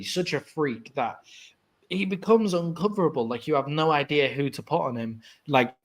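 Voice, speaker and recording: A man with an English accent, co-hosting a podcast and talking football in a loose, chatty way. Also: mid-range voice. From England. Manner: chatty, self-correcting, asks co-host questions. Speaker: podcast co-host. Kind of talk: casual sports chat.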